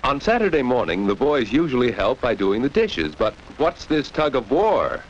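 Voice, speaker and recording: Animated 1940s educational film narrator, a man. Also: medium pitch, moderate pace. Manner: didactic, addresses young listeners with rhetorical questions. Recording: dull, noisy 1940s film soundtrack.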